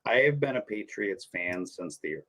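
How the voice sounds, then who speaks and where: A man, a podcast guest chatting casually, animated and rambling, laughing often. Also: lowish voice, moderate pace. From New Hampshire. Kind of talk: casual sports chat.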